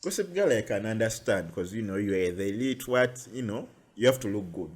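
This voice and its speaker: Young Ugandan man, podcast host, chatty and animated, casual talk. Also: lowish voice, a little fast, breathy edge. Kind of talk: casual chat.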